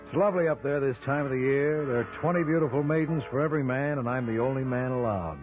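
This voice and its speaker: An actor playing a dry, flippant, hard-boiled crime-solving hero in an old radio drama. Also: male voice, mid-range voice, quick pace, music in the background, lightly acted. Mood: wry narration. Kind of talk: 1940s-50s radio crime acting. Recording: dull old radio recording.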